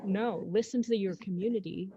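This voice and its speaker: A woman's voice: medium pitch, normal speed, crowd noise. A woman from Zambia co-hosting a podcast, speaking in a reflective, encouraging way. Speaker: podcast co-host. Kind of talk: casual podcast conversation. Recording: dull dry lo-fi sound.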